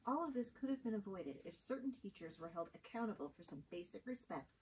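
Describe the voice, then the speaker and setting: A woman's voice: medium pitch, sing-song, quick pace, darkish tone, close to an everyday voice. A woman reading the part of an indignant, caring female schoolteacher in an amateur script reading, recorded at a distance. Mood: concerned, upset. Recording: distant mic, faint room noise.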